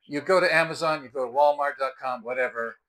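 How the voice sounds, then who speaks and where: A man's voice: mid-range voice, quick pace, fairly bright tone, poor audio. An American man in his sixties explaining products to camera in a conversational, emphatic, unscripted way. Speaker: product review host. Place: outdoors on a house porch by the front door.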